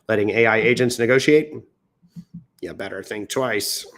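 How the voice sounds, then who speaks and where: An American man hosting a livestream, reading news posts off the cuff in a theatrical, jokey way with exaggerated emphasis, sounding a bit under the weather. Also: on the low side. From United States. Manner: theatrical, swoops into exaggerated emphasis and self-mocking asides. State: sick and tiring, coughing now and then. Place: indoors.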